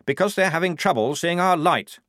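A man's voice: on the high side, a little fast, fairly bright tone, no room sound, studio quality, natural voice. A man reading a story, voicing a pompous, fussy young male lieutenant in a lofty, overly precise manner.

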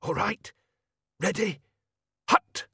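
A man doing a hushed nervous old wizard. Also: fairly high pitch, measured pace, breathy edge, dry recording, studio quality, pitched above the performer's own voice, full character voice. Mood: tense. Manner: hushed, hissing.

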